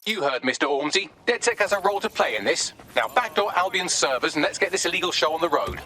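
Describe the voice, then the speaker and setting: An actor playing a sardonic artificial intelligence assistant in a video game, with a wordy, dry wit. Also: male voice, sits high, animated, quick pace, pushed and bright, heavily acted. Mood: dryly sardonic. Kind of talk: scripted video game dialogue.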